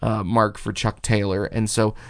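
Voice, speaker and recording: A man co-hosting a podcast in casual chat, his voice hoarse and raw after a concert, telling long enthusiastic stories. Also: fairly low pitch, little pitch movement, normal speed, a bit bright, dry recording, studio quality. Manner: enthusiastic, rambling storyteller. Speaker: podcast co-host and rapper.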